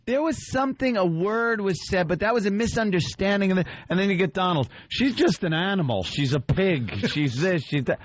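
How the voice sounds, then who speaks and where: A man's voice: high-pitched, animated, moderate pace, hardly any pauses, dry recording. A man co-hosting a radio show with casual banter and commentary. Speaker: radio co-host. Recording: dull lo-fi radio recording.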